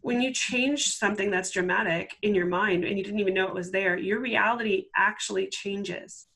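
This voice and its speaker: A woman talks as a guest in an interview. Her voice sits at an average female pitch. She explains her work earnestly and conversationally, voicing bits of remembered dialogue as she goes. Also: normal speed, vocal fry, fairly bright tone, poor audio. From Canada. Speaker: interview guest, life transformation coach.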